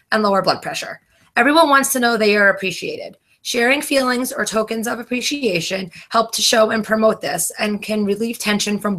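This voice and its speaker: A woman's voice: medium pitch, a bit bright. A young woman from New Jersey reading a prepared debate presentation, carefully listing items one by one. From New Jersey, USA. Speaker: debate participant.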